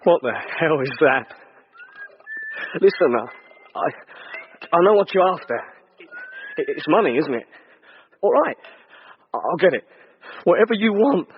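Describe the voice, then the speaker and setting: A man playing a blustering, panicky English tough-guy captive in a radio drama, with a rough voice. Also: fairly high pitch, very animated, a little slow, pauses often. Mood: panicked pleading. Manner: blustering, colloquial. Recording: dull radio recording.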